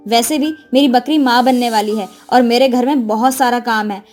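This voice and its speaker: A woman's voice: fairly high pitch, steady tone, moderate pace, darkish tone, soft background music, lightly acted. A woman voicing an elderly, hardworking village mother-in-law with a nagging, instructive manner in a cartoon moral story. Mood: pleased, pleading. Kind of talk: Hindi animated moral story dialogue.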